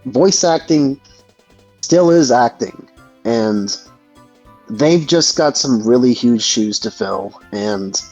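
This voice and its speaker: A man chatting casually as a discussion guest, thinking aloud in a halting way. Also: medium pitch, a little mellow, dull audio. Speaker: guest in a gaming discussion, aspiring voice actor. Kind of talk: casual chat.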